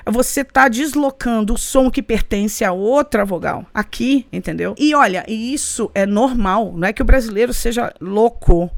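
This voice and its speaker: A woman's voice: fairly high pitch, normal speed, gravelly, hardly any pauses, no echo. A woman with a Brazilian Portuguese accent explaining pronunciation conversationally, like a teacher, often checking that the listener follows. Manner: didactic, often checking understanding with short tag questions. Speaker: English pronunciation teacher, interview guest. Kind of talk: conversational explanation with spoken examples.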